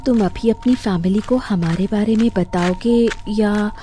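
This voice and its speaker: A woman's voice: medium pitch, measured pace, warm tone, soft background music, close to an everyday voice. A woman voicing an impatient young girlfriend with a pointed, questioning way in a cartoon story. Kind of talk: animated story dialogue.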